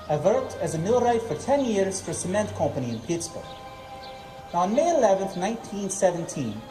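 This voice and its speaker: Woman as Russian immigrant working man, animated storytelling, historical reenactment, roomy distant sound, music behind. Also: mid-range voice, lightly acted. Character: Russian immigrant man, millwright and driver, recounting events. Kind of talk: scripted first-person historical monologue.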